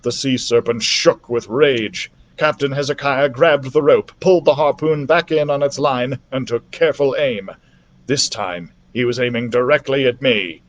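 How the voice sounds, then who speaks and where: A man reading a children's adventure story aloud as a library storyteller, in a theatrical, expressive manner. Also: medium pitch, normal speed.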